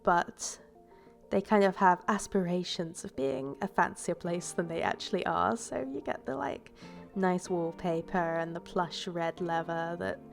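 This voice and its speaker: Woman narrating her build video, a bit congested, with a gravelly edge and an easygoing, rambling delivery. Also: fairly low pitch, measured pace, faint music behind. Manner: casual and rambling, with fillers and self-corrections. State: stuffy from a cold. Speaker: game builder and YouTuber. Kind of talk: relaxed voice-over commentary on a building video.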